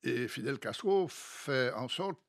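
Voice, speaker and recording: A man with a Martinican accent talks in a medium-pitched voice. He tells stories from history in a casual, emphatic way, stopping and starting as he mixes Creole into French. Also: expressive, slow. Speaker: studio guest. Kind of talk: casual discussion, storytelling about history.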